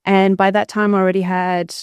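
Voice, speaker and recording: Clear, smooth-voiced woman. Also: medium pitch, little pitch movement, fairly quick, no room sound, highs cut off. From Australia, born in Bulgaria. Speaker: podcast guest, entrepreneur. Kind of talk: conversational personal storytelling.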